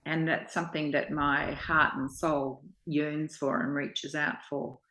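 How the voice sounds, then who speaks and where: A low-pitched woman speaks very slowly, sharing personal reflections. Her phrasing is halting and thoughtful, and the sound is roomy and echoing. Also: little pitch movement, pushed and bright.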